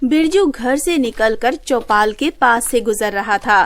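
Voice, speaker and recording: A woman reading scripted storybook narration as the narrator of an animated folk tale. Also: fairly high pitch, unhurried.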